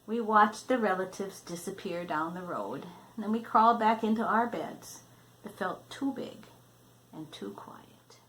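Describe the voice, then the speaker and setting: A woman's voice: medium pitch, measured pace, fairly bright tone, slight background noise, rough recording. An older woman reading a children's storybook aloud, expressively, drawing out words for emphasis. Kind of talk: read-aloud storybook narration.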